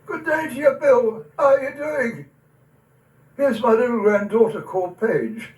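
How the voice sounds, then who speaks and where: A man's voice: very high-pitched, unhurried, a little mellow. A man playing a tender, wistful old grandfather and war veteran in a recital of dramatic verse, with an emotional quiver in his voice. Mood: hearty greeting. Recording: echoey room, distant from the mic.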